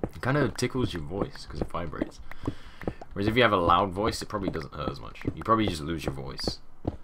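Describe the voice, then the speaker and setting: Deep-voiced young man with a sore throat. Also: animated, a little fast. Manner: rambling, chatty, drops to mumbles. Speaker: gaming channel host. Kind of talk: casual gameplay commentary. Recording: audible background noise and keyboard clicks.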